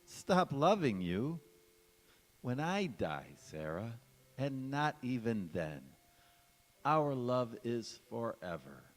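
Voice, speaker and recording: A devoted young bridegroom played by a man in a golden age radio play. He speaks slowly in a medium-pitched voice, courtly and ardent in his reassurance. Long reverb from a large hall surrounds him. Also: big pitch swings. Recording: echoey church hall stage recording.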